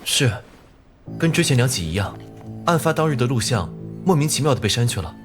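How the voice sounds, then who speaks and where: A man acting a dutiful young police officer reporting deferentially in a Chinese animated crime drama. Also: mid-range voice, brisk, faint music behind, close to an everyday voice.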